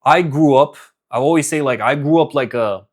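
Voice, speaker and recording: A man's voice: a little high, moderate pace. Young man from Romania, business coach, casual pep talk, earnest. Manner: earnest, repetitive, many fillers and restarts. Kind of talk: casual motivational talk to camera.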